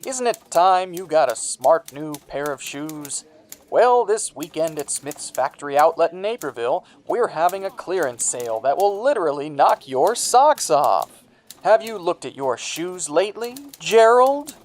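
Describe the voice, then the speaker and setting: A sinister radio pitchman acted by a man with wildly animated intonation. He sells and taunts in the same sly, insinuating tone, like an advertisement turned menacing in an audio play. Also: measured pace. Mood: salesman pitch. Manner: salesman-like, insinuating. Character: sinister radio announcer voice, slyly taunting and tempting. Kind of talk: radio advertisement parody acting.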